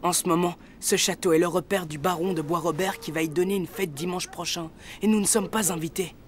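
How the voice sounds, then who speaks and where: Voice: medium pitch, steady tone, brisk, crowd noise, lightly acted. An actor playing a brave, protective boy in a French cartoon, earnest and gallant. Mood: protective warning. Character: brave, protective boy, friend of a young girl. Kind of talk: dubbed French cartoon acting.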